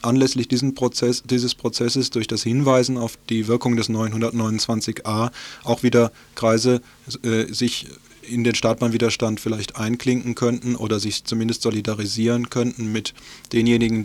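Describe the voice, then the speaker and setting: A man's voice: mid-range voice, little pitch movement, moderate pace. A man working as a radio interviewer and news presenter, asking questions and reporting.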